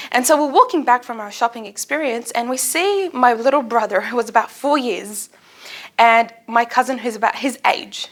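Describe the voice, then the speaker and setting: High-voiced young woman giving a humorous speech. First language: Arabic. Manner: expressive, animated storytelling with acted-out asides. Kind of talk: humorous personal speech to an audience.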